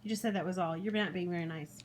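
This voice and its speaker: A woman talking casually to a young child in an encouraging, animated way, recorded on a noisy home phone video. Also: lowish voice, fast talker. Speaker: parent watching a child's puppet show.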